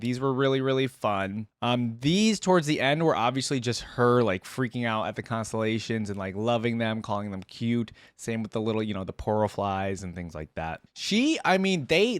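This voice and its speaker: A man's voice: mid-range voice, dry recording, clean studio sound. A man giving casual, chatty commentary as a reaction video host, easily amused and hedging as he goes.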